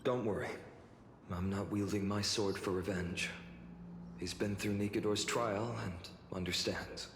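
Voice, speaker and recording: Low-voiced young heroic swordsman played by a man, echoing hall. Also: fairly even intonation, measured pace, background noise, close to an everyday voice. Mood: earnest, resolute. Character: earnest young heroic male swordsman, courteous, resolute. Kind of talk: video game voice acting. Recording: echoey reverberant hall, game music behind.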